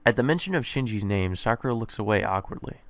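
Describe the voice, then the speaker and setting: A man's voice: lowish voice, expressive, quick pace. A man reading visual novel narration aloud as a let's play host, with casual asides.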